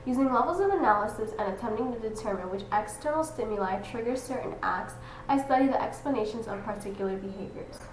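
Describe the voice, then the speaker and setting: A woman's voice: on the high side, lively intonation, fast, close to an everyday voice. Young woman as blunt grumpy dwarf psychologist, roomy sound. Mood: exasperated. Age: young adult. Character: grumpy dwarf who works as a behavioral psychologist, exasperated and blunt. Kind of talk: student skit, scripted diagnostic monologue. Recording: roomy, distant camera mic with hiss.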